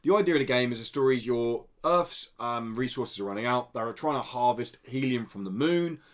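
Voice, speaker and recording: A man's voice: mid-range voice, animated, fairly quick. Casual man hosting a games podcast. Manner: explanatory, informal. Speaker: podcast host reading indie game news. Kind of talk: casual podcast news chat. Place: indoor studio.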